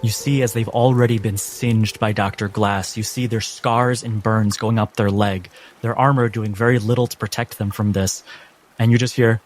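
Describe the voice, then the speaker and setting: A man acting as game master, narrating a tabletop game with dramatic, deliberate phrasing. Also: lowish voice, fairly even intonation, a little hiss or hum. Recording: music behind the voice. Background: background music.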